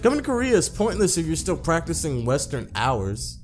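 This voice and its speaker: A man's voice: on the high side, sing-song, moderate pace, over music. A man voicing a blunt, demanding young female pro gamer in a visual novel, with a bossy, no-nonsense manner.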